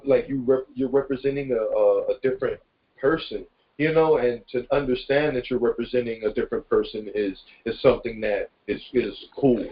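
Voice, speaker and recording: A man speaks in a mid-range voice over a dull, lo-fi conference call. He chats informally and rambles a little, in an affirming, motivational way. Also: mid-range voice. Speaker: participant in a self-improvement conference call. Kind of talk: casual motivational conversation. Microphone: conference call line.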